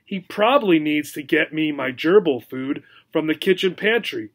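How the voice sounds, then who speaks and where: A man's voice: on the high side, lively intonation, normal speed. A man voicing a small, anxious female pet gerbil from a children's storybook, pleading and exclaiming. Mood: hopeful.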